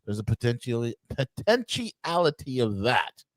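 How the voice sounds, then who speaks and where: A man's voice: medium pitch, very animated, normal speed, no room sound. A man with a New York accent arguing emphatically on a podcast, often restarting and stammering in exasperation. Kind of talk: heated podcast debate.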